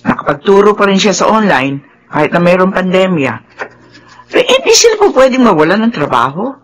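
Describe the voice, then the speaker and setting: An older Filipino woman in a radio drama, a doting mother-in-law. Her voice moves up and down eagerly, with an excitable, caring air. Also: mid-range voice, brisk. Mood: explaining, reassuring.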